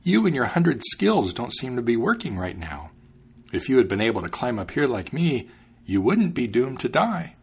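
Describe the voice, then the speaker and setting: A man voicing a modest, friendly female cat in a storybook reading, pitched up slightly, with a husky voice. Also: big pitch swings, normal speed, heavily acted. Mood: mocking. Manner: polite, sweet.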